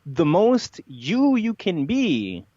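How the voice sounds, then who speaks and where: A man talking as a podcast guest, explaining his ideas assertively with halting pauses. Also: high voice, wildly swooping pitch, mellow, no echo, dull audio. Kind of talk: conversational interview, expounding ideas.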